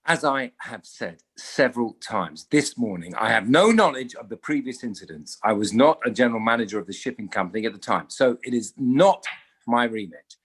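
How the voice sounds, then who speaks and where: An actor playing a defensive company manager giving guarded, evasive testimony in a courtroom drama table read. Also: male voice, medium pitch, animated, moderate pace, a bit bright, husky. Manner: guarded, terse, evasive answers.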